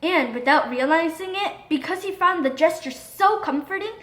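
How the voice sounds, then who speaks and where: A woman narrating a scripted children's storybook in an expressive, dramatic read-aloud manner. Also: very high voice, moderate pace. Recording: roomy echo.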